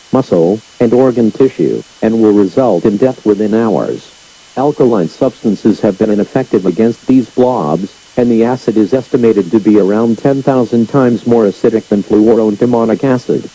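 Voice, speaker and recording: Very dark, husky male emergency alert announcer. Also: fairly low pitch, poor audio. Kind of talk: scripted emergency broadcast reading.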